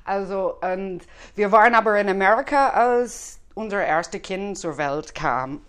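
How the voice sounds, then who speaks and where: A woman's voice: mid-range voice, measured pace, smooth. A woman from Dublin with an Irish accent, a guest chatting casually in German with many hesitations. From Ireland, Dublin. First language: English. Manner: hesitant, many fillers, friendly. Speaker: guest, cinema series organizer. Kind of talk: casual interview chat.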